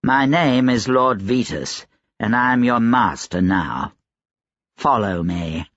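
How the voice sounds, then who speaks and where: A man voicing a cold, educated gentleman lord in an audiobook, quiet and steady, calmly threatening. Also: mid-range voice, no room sound, muffled recording. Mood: cold menace. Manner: calm, collected, deliberate threats. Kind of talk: audiobook character dialogue.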